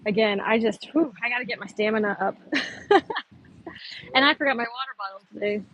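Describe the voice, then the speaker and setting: A woman's voice: a little high, fairly quick, fairly bright tone, muffled recording, a little hiss or hum. A woman live-streaming from a phone on a gimbal, chatting casually with her viewers with lots of fillers and giggles. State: a little thirsty. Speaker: livestreamer.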